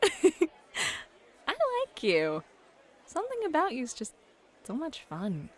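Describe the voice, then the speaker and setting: A woman playing a playful, flirty club hostess and game master in an ASMR roleplay, bubbly, teasing and expressive. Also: high voice, slow pace, some chatter behind, heavily acted. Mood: warm, flirty. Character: playful, flirty club hostess running tabletop adventure games. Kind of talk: scripted ASMR roleplay, acted. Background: background music.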